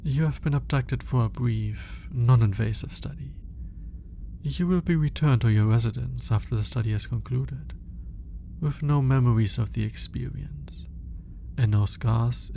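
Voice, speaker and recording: Man acting as aloof alien scientist, ASMR roleplay, mellow and creaky, clinical, condescending, formal, deliberate. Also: medium pitch, a little hiss or hum. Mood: clinical, instructing. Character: cold, aloof male alien scientist studying a human captive.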